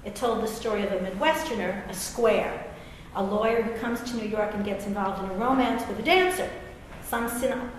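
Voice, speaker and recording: A middle-aged woman with an American accent reading a personal essay aloud, with wry, self-deprecating, conversational asides. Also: medium pitch. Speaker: author and English professor. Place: large reverberant room at a live reading. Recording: very echoey hall, faint background noise.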